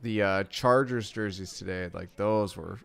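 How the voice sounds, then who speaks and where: A man's voice: fairly low pitch, unhurried, vocal fry, fairly bright tone, clear, resonant tone. A man co-hosting a live football stream, chatting casually and greeting viewers. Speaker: co-host of a live sports watch stream. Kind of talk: casual chat, reading viewer comments.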